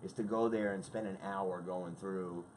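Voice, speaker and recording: A man with a low, deep voice tells rambling, profane stories about old hard rock records. He talks casually and gets worked up as he goes. Faint noise sits under the recording. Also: moderate pace. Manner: excitable storyteller, swears freely. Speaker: guest sharing favorite albums.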